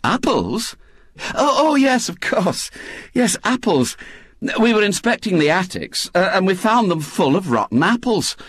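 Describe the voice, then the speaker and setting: A man voicing a brisk, mischievous older woman who feigns innocence. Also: sits high, very animated, fairly bright tone, husky, no echo, studio quality, voice pushed up, full character voice. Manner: gleeful, falsely innocent, brisk.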